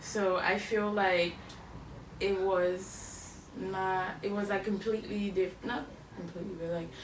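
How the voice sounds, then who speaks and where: A young woman from Cameroon, co-hosting a podcast and telling her story in a measured way, correcting herself as she goes. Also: mid-range voice, flat delivery. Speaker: podcast co-host. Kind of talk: casual chat. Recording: echoey room, mic far off, background noise.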